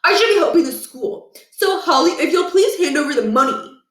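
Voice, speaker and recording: A woman acts out a pushy schoolboy from a storybook, officious and self-important. He insists in a raised, lively pitch. The recording has a clear room echo. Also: brisk. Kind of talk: children's book read aloud. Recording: echoey room, distant from mic.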